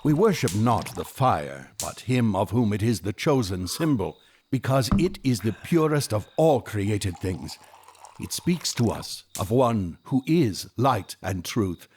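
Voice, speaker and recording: An actor in an audio drama playing an earnest, devout Magus, speaking in a solemn, impassioned, oratorical manner. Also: male voice, lowish voice, big pitch swings, a little slow, darkish tone, slight background noise. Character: Artaban, earnest devout male Persian Magus, fervent seeker.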